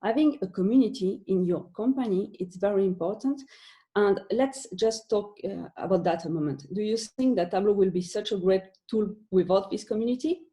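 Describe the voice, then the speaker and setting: A woman's voice: mid-range voice, moderate pace, warm tone. A woman from France with a French accent, presenting a talk from home for an online webinar, with many fillers and restarts. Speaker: conference speaker.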